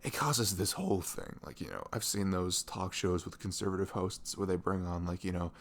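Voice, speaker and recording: A young man playing a sweet, caring boyfriend in an intimate ASMR roleplay, rambling casually in slangy, animated speech. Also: low voice, normal speed. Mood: animated, exasperated. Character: sweet, caring, chatty boyfriend on a morning after. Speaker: audio roleplay voice actor.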